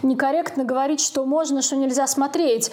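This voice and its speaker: A woman's voice: sits high, fairly even intonation, a little fast, darkish tone. A woman co-hosting a casual podcast discussion, speaking in a blunt, opinionated, emphatic way and swearing freely. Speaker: podcast co-host.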